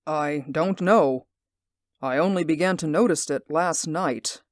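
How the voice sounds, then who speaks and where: Woman as insistent male stage actor, lowish voice, lively swings, urgent and earnest, radio drama, dry close sound. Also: a little slow, no room sound. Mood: earnest, uneasy.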